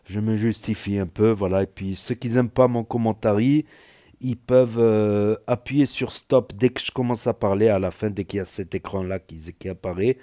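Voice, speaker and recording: A man with a light southwestern French accent, talking in a lowish, mellow voice. He gives loose, rambling reaction commentary as a video host, stringing thoughts together with constant fillers and restarts. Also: steady tone, moderate pace. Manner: rambling, filler-heavy, many "voilà" and "euh". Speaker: YouTube news commentator.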